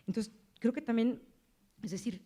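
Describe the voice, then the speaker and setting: A woman's voice: a little high, moderate pace, warm tone. A woman audience member asks a question at a lecture in a hesitant, rambling, self-deprecating way, with frequent tag questions. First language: Spanish. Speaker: audience member asking a question, language teacher.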